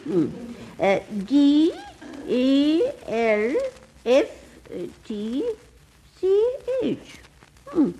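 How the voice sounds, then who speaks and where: A woman's voice: high voice, big pitch swings, warm tone, a little hiss or hum, full character voice, rough recording. A woman playing an ancient, eccentric, kindly and sly aunt in a radio comedy, dithery and hesitant. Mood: vague, concentrating. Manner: dithery, hesitant with many ums and pauses.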